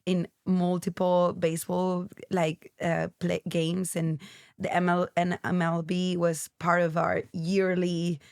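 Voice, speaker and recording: A woman in her late twenties with a Venezuelan accent, talking as a guest in a loose, rambling way with restarts. Her voice sits low and has a gravelly fry to it. The sound is dry and close, with no room around it. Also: level tone, takes it slowly, darkish tone, no room sound. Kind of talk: casual interview chat.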